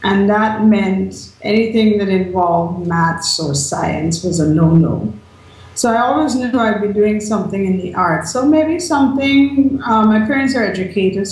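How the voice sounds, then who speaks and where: A woman from Guyana answers interview questions thoughtfully, pausing often with ums. Her voice sits at a middle pitch and rings out in a very echoey room. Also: darkish tone. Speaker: lawyer, interview guest.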